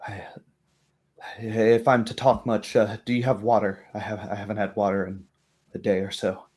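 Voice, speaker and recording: A man voicing a shaken, weary wizard's servant in a tabletop game, halting and apologetic. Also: lowish voice, flat delivery, darkish tone, husky. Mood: defeated.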